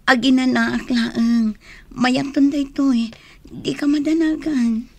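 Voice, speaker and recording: A woman's voice: sits high, steady tone, slow, no room sound, exaggerated voice. A woman with an Ilocano accent acting in a radio drama as an evasive young woman who makes excuses to her suitor. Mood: weak, apologetic. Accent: Northern Philippine, Ilocano.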